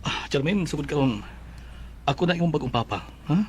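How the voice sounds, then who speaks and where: A fast-talking, fairly high-voiced Filipino man an actor as an eager stepfather. He coaxes affectionately in radio drama style. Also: animated, darkish tone, slight background noise, close to an everyday voice. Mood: coaxing, earnest.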